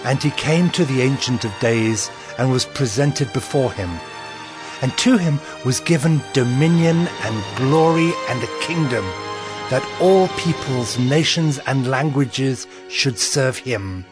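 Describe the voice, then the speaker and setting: An awestruck prophet played by a male actor recounts fearsome visions in a dramatized scripture. His delivery is grave and very unhurried, every phrase deliberate. Clear dramatic music plays behind his middle-pitched voice. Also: expressive, close to an everyday voice. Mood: reverent. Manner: solemn, deliberate storytelling.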